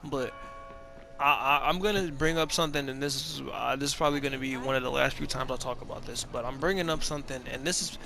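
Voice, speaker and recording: Rambling young man with a gravelly voice. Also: medium pitch, quick pace, noisy background. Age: 20s. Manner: rambling and profane, lots of restarts and slang. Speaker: gaming video creator. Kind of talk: casual commentary over gameplay.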